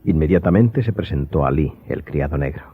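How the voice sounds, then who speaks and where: A man's voice: very deep voice, expressive, fairly quick, breathy edge. A man delivering scripted narration for a radio drama audiobook, as the storyteller. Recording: muffled, narrow-band audio with faint music.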